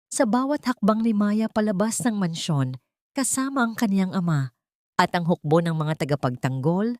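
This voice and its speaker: A woman's voice: on the low side, darkish tone, no echo, studio quality. A woman with a Filipino accent reading a dramatic scripted story as an audio narrator.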